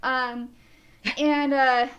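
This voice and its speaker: A young woman co-hosting a cosplay livestream, soft-spoken and giggly, chatting casually over a video call mic. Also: high-pitched, level tone, extremely slow, smooth, lo-fi sound. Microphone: desktop mic on a video call.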